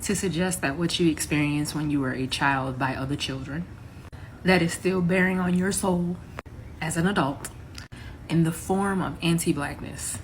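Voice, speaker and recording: A woman speaking in an earnest, measured, lecturing way in a response video. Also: fairly low pitch, a little hiss or hum, poor audio.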